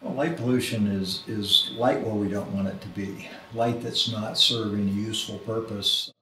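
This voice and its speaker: A man's voice: fairly low pitch, steady tone, normal speed, sharp tone, recorded from a distance, a little hiss or hum. A middle-aged man talking reflectively in a documentary interview. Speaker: interviewee, photography group founder. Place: small home office, indoors. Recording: roomy, off-mic, music behind. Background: music.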